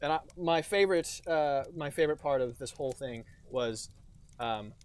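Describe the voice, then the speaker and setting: A man's voice: on the high side, normal speed, smooth. A man co-hosting a comedy podcast, chatting casually and laughing often while quoting lines with mock drama. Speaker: podcast co-host.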